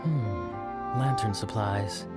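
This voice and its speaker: A man voicing a suave, sly young knight in a video game, with a smooth, playful drawl. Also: fairly low pitch, drawn-out words, loud music behind the voice. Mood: sly, amused.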